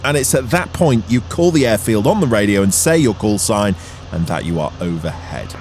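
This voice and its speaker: A man's voice: fairly low pitch, expressive, moderate pace, a little mellow. A man narrating an explanatory vlog as its host, in a voice-over style. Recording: music under the voice. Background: background music.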